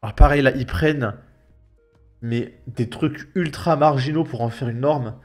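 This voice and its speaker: A man's voice: medium pitch, measured pace, a little mellow. A man with a French accent giving casual, colloquial video commentary in a mocking, emphatic manner. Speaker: host of a reaction video channel. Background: background music.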